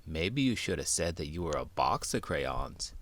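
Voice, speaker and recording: A man's voice: extremely low pitch, big pitch swings, normal speed, voice pushed down, full character voice. A man voicing a curious, playful chimp sidekick with a cheeky, inquisitive manner for a children's show.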